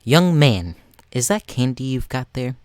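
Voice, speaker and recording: A man's voice: medium pitch, big pitch swings, unhurried, smooth, dry recording. A teenage boy voicing an entitled, angry mother in a story, indignant and accusing. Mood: demanding. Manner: indignant, accusing, bursting into shouts.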